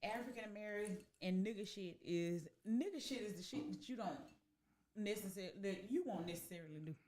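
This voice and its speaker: A woman's voice: mid-range voice, animated, a little fast, a little mellow. A woman in her forties co-hosting a podcast, making her points emphatically and repeating them. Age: 40s. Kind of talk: casual group chat. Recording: echoey room.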